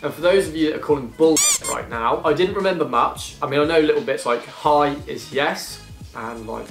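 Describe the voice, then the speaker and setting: A man's voice: fairly high pitch, moderate pace, creaky, reverberant, music in the background. A young man in his twenties from England, chatting casually in a self-deprecating, rambling way while eating through a vlog Q and A. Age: 20s. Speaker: YouTuber.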